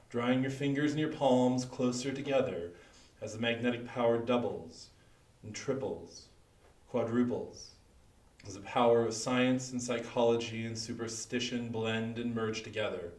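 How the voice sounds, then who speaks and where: Echoey, theatrical man presenting like a hypnotist. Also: medium pitch. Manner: deliberate, dramatic phrasing with pauses and repetition. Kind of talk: theatrical hypnosis demonstration patter. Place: echoey room with an audience, streamed live. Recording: echoey room, distant microphone, online stream.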